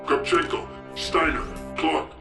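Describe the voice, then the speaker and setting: A man's voice: on the high side, slow pace, gravelly. A man playing a cold, insistent military intelligence interrogator in a video game, clipped and methodical. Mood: cold, probing. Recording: echoey, distant voice over music.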